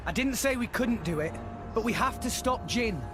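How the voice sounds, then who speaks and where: A man's voice: very high-pitched, animated, normal speed. An actor playing an earnest, defiant young male hero in a video game, speaking plainly. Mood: defiant, determined. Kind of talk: dramatic video game voice acting. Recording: game audio with music behind.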